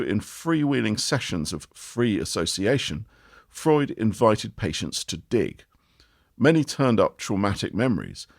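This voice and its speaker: Male audiobook reader, low and expressive, dry close-mic read. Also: no echo. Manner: expressive reading with lively rises and falls. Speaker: narrator reading an article. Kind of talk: measured audiobook narration.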